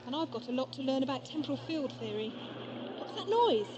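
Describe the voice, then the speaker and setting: A woman's voice: high voice, sing-song, brisk. A woman playing an eager, teasing young companion to a time traveller in an audio drama. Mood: anxious, thoughtful. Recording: noisy lo-fi 1980s amateur audio drama.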